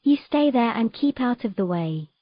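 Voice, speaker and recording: A woman's voice: a little high, animated, moderate pace, lo-fi sound. A woman playing a determined, defiant young mermaid with an insistent, urgent delivery in a dramatic audio story. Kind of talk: dramatic audio fiction acting.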